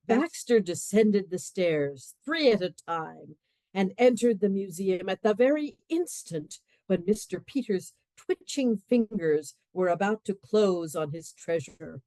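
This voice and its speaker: A woman narrating a radio play in a wry, expressive storytelling manner, dropping to hushed asides. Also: medium pitch, a little slow, dry recording.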